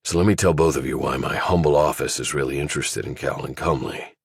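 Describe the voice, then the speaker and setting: Man voicing a very deep, commanding old general. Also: fairly even intonation, brisk, slightly rough, no room sound, clean studio sound, exaggerated voice. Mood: grave, explanatory. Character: stern retired male general running a covert government office. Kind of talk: audiobook character dialogue.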